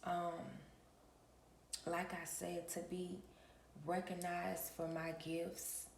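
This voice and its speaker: A woman hosting her own channel, talking in a relaxed early-morning vlog with a raspy voice and a preachy manner, often clearing her throat. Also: on the low side, slow pace, echoey room, slight background noise. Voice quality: gravelly. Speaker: spiritual reader and channel host.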